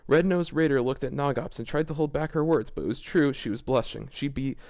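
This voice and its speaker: A man hosting a casual fan fiction reading at home, reading aloud awkwardly and halting often with "like" fillers. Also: mid-range voice, darkish tone, dry recording, clean studio sound. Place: home shared with several people.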